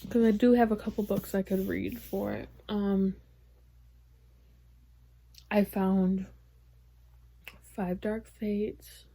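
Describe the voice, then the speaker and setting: A young woman vlogging casually about her reading, rambling with lots of fillers, her voice going hoarse. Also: mid-range voice, expressive, slow pace, long pauses. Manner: rambling, filler-heavy, soft-spoken. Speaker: book vlogger. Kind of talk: casual vlog chat.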